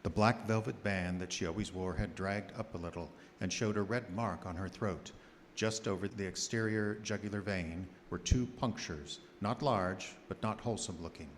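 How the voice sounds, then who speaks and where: An actor playing an earnest doctor narrating his journal in formal, measured diction in a radio horror drama. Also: low voice, slight background noise, lightly acted. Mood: grave narration. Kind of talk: old-time radio horror drama acting. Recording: live radio play under loud music.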